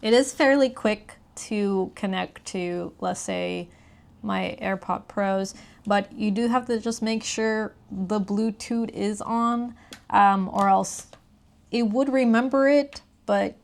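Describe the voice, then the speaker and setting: A woman's voice: medium pitch, slow, vocal fry. Casual woman walking through a gadget. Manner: explains step by step, conversational. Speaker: product reviewer. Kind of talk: casual hands-on gadget review chat.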